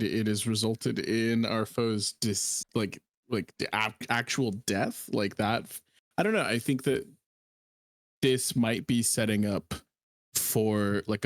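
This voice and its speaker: Male co-host for casual stream chat: lowish voice, close dry sound, slangy delivery. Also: dry recording. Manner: informal internet slang. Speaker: livestream co-host. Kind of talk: casual chat about manga.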